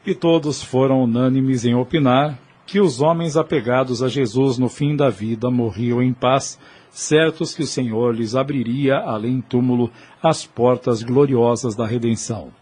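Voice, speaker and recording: A man narrates an audio drama in a solemn, literary way. His mid-pitched voice has a husky grain and follows a script. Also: unhurried, darkish tone.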